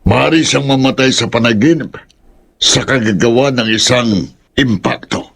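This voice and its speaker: An actor playing an old male village healer in a horror audio drama, speaking in a husky, solemn voice. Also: mid-range voice, normal speed, a bit bright, faint music behind. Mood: grave.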